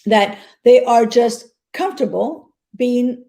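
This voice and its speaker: A woman's voice: a little high, slow pace, dark tone. A woman from North Africa, an actress, speaking earnestly in a casual interview and often repeating words as she finds them.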